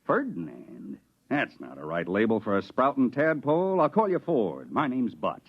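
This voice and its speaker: An actor playing a blunt, rough-hewn man from Venus with a folksy rustic drawl, in an old radio drama. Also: medium pitch, huge pitch swings, normal speed, exaggerated voice. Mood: folksy, gruff. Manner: teasing, calls the boy tadpole. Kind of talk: 1950s radio drama acting. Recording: muffled 1950s radio broadcast.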